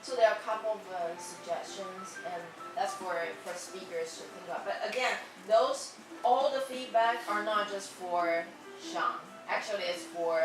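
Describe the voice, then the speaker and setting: A woman teacher gives feedback in a lower, rather monotone voice, checking in with her class as she talks. She is recorded from across an echoey classroom, with loud music playing behind her. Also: recorded from a distance. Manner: ends many sentences with a checking "okay?". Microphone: far from the mic.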